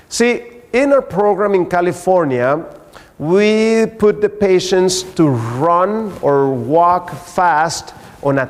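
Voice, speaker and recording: Guy with a high voice giving a health talk at church, slow and emphatic, lots of reverb. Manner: emphatic explaining, with rhetorical questions. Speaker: health lecturer and researcher. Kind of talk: health lecture with slides at a church. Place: large church hall. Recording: echoey hall with long reverb.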